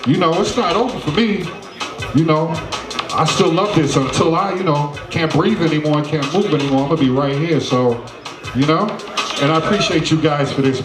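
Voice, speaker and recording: A man's voice: a little high, roomy sound. A man from Trinidad giving a loose, grateful acceptance speech as a DJ honoree, full of fillers.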